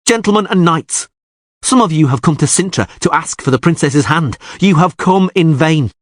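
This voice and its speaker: A mysterious suitor, voiced by a man, announces his claim with solemn weight. The voice rises high and lively, with a husky grain in a dry close sound. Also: normal speed, no echo. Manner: solemn, declaiming.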